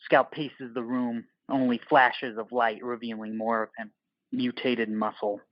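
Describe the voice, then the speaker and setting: A man's voice: medium pitch, flat delivery, unhurried, dry recording, muffled recording. A man narrating an audio play from a script in terse, dramatic, clipped phrases.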